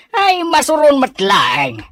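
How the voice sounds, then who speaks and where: A woman's voice: sits high, a bit bright, no room sound, heavily acted. Woman doing curt, grumbling Ilocano village man. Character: curt village man, an unimpressed older neighbour. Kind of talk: Filipino audio drama dialogue.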